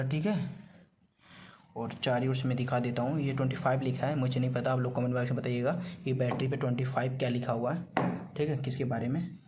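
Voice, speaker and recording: A man's voice: medium pitch, darkish tone. A man with an eastern Uttar Pradesh accent talking casually to viewers in a vlog, explaining things repetitively with frequent check-in tags. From Gorakhpur, India. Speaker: vlogger. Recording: clearly noisy amateur vlog audio with ambience.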